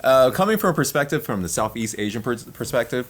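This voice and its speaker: A man's voice: medium pitch, animated, fast, a little hiss or hum. A man whose first language was Vietnamese, co-hosting a casual podcast and giving insistent, lecturing advice. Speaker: podcast co-host. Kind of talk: casual reaction chat.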